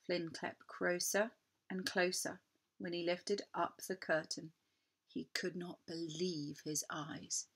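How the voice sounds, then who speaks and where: A woman narrating a children's story in an expressive, deliberate, dramatic storytelling manner. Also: fairly low pitch, slightly rough. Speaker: storybook reader.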